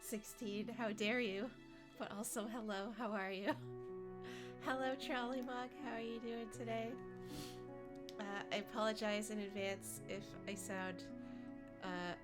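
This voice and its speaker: A woman's voice: mid-range voice, steady tone, a little slow, long pauses. A woman streaming a video game with a stuffy, congested nose, chatting and giggling playfully with her viewers. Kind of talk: casual live-stream chat while gaming. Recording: game music playing under voice. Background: game music.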